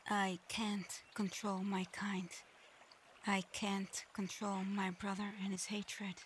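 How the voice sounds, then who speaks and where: A gentle shapeshifting dragon in human form, acted out by a woman in an ASMR roleplay. She speaks slowly and softly in an even, restrained tone, halting with regret and often slipping toward a whisper. Faint ambient noise sits behind the voice. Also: mid-range voice, natural voice. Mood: gentle, regretful. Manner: soft, breathy, often near-whispered, halting.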